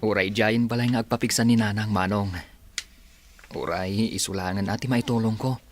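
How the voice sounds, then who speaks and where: A man's voice: on the low side, fairly even intonation, normal speed, faint music behind, close to an everyday voice. A man playing a poor, humble younger brother in a radio drama, meek and apologetic, with an Ilocano accent. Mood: pleading. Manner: meek, pleading.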